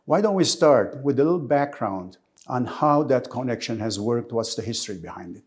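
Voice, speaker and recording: A man interviewing a guest, who introduces her in a measured, formal way with pauses. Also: mid-range voice, animated. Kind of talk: interview questions.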